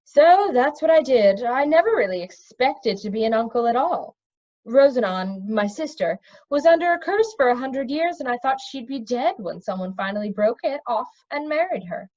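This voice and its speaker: Lady doing a haunted stammery prince uncle, storybook, swoopy pitch, kinda dull recording. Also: animated, normal speed, a little mellow. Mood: rueful, earnest. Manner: stammering, earnest, sighing. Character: flustered, haunted middle-aged prince, a reluctant wicked uncle.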